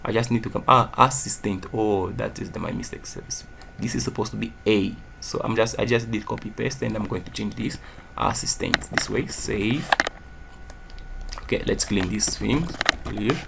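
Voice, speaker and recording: Angolan man, gravelly low voice; screen-share coding tutor who checks in with "okay?" constantly. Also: normal speed, background noise. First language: Portuguese. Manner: says "okay?" after nearly every phrase, thinks aloud while typing. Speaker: programming tutor.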